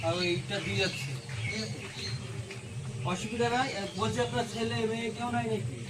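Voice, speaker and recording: A man's voice: high voice, a little fast, very noisy. A man doing charity work, chatting casually and reassuringly on a visit and often checking that the listener follows. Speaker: charity worker vlogging a visit.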